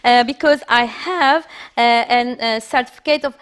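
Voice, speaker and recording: A woman's voice: fairly high pitch, clean tone. A woman moderating an online academic symposium over a video call, speaking hesitantly with many fillers. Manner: slow and hesitant, many fillers and soft backchannels. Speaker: session moderator.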